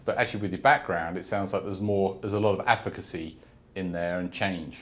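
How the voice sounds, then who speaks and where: A man's voice: low voice, brisk. A man hosting a business interview series, asking long rambling questions with restarts and backing the guest with frequent mm-hmms. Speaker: interview host, company CEO.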